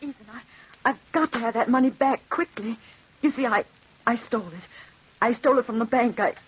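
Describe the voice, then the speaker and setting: Casting: fragile, pleading woman, fairly high and very breathy, vintage radio melodrama. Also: brisk. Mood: desperate, ashamed. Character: anxious, devoted woman confessing to her fiancé. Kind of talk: old-time radio drama acting. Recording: dull old radio recording.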